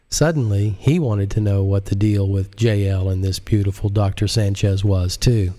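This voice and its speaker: A man with a fairly low voice reads a novel aloud as its author. He narrates like an audiobook reader and drops into casual remarks for his stream. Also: mellow. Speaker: author reading own audiobook on live stream.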